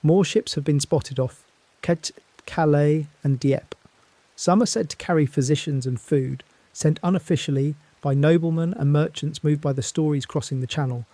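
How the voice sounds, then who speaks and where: An actor playing a somber male foreign correspondent reporting from abroad, in a hushed, somber manner. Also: a little high, normal speed, no echo. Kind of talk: scripted dramatic news report.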